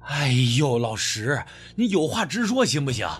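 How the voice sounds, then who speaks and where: A man's voice: a little high, big pitch swings, normal speed, slightly rough, faint music behind, exaggerated voice. A man voicing an eager medical student in an audiobook, insistent and exclamatory, pleading persistently. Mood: impatient, nagging.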